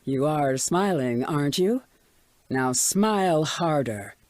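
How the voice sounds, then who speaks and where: An actor playing a smug, menacingly soothing radio DJ with scripted patter for a game. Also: male voice, fairly high pitch, expressive, takes it slowly, a little mellow, no echo. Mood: smug menace.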